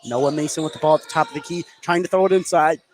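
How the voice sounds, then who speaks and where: A male basketball commentator with a high voice calls the game live for radio. He follows the action pass by pass and grows excitable on the big plays. Clear gym noise runs behind him. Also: fast talker. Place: indoor basketball gym, courtside.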